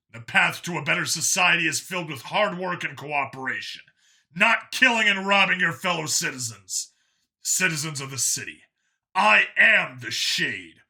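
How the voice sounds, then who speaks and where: Man voicing a forceful, grandiose masked vigilante. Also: a little high, voice pushed up, heavily acted. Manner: declamatory, grandiose. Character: stern vigilante avenger proclaiming to a city.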